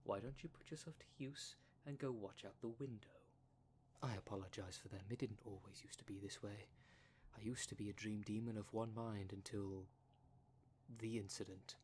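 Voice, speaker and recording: A man's voice: lowish voice, fairly even intonation, moderate pace, darkish tone, slight background noise, close to an everyday voice. A man playing a courteous, pedantic dream demon in an ASMR roleplay, speaking in formal, wordy, precise phrases. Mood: irritated, then soothing, polite. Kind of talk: ASMR roleplay audio drama.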